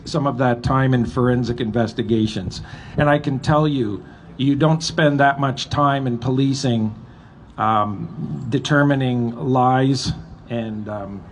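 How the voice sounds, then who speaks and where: Older Canadian man speaking over background noise. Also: mid-range voice. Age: middle-aged or older. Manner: earnest, deliberate, emphatic. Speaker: retired police officer speaking at a rally. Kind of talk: public speech, partly read from a letter. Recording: live event with background noise.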